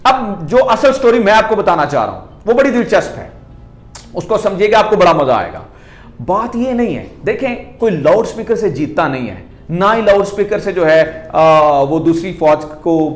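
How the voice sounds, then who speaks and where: A man with a Pakistani accent hosts a conversational political commentary, explaining emphatically and answering his own rhetorical questions. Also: on the high side. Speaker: host of a news commentary video channel. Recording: echoey, reverberant room sound.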